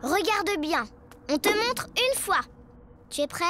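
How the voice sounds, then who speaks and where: Cartoon: bossy teasing little girl, very high voice, music under. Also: expressive, exaggerated voice. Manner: bossy, teasing, brisk counting. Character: spirited young girl, teasing friend.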